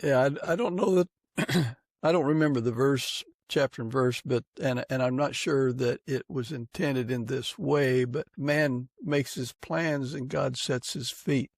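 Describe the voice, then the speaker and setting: A man's voice: medium pitch, measured pace, no echo, clean studio sound. A man hosting a casual podcast interview in a conversational way.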